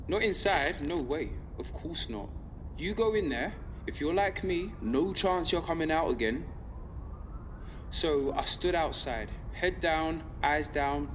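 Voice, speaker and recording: Defiant young rebel broadcaster, reverberant. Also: female voice, low-pitched, fairly bright tone, heavily acted. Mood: wary, reflective. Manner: deliberate, emphatic, rhetorical repetition. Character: defiant young underground rebel radio broadcaster, urgent, earnest. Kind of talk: scripted audio drama monologue. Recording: long reverb, faint background noise.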